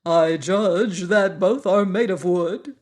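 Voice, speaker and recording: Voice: mid-range voice, takes it slowly, natural voice. A reader voicing a pompous, learned male insect who lectures in grand, formal phrases. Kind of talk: audiobook character voice.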